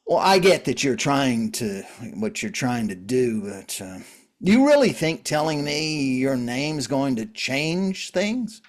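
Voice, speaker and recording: Guy acting weary wry man in a drama, takes his time, hesitant phrasing, mid pitch. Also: sing-song. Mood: calm, probing. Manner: halting, slow, wry. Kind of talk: dramatic scripted reading, conversational.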